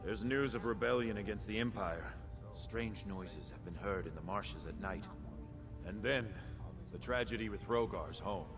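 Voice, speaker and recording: A man's voice: lowish voice, normal speed, lightly acted. An actor playing a guarded, diplomatic male steward in a fantasy game, with a composed, official manner. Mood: guarded, reassuring. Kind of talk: formal game dialogue. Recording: music behind the voice.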